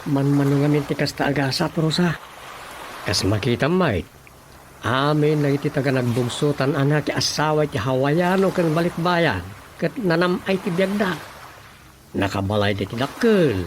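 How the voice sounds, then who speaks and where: An actor playing a practical, agreeable nephew in an Ilocano radio drama comedy, conversational and persuasive. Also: male voice, fairly high pitch, animated, normal speed, a little hiss or hum, natural voice.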